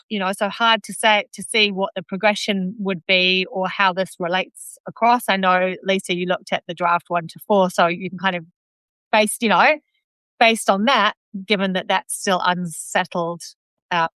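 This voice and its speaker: A woman host with a New Zealand accent and a bright, smooth, crisp voice. She speaks in a thoughtful, hedging way, often trailing off mid-phrase, recorded close and dry. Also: medium pitch, dry recording, low-quality recording. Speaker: host of live video. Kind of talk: live online discussion.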